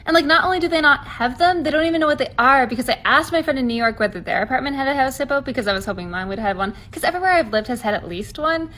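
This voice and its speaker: Canadian woman, high and quick, straight-faced comic storyteller. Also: animated, fairly bright tone. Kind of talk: deadpan comedic storytelling.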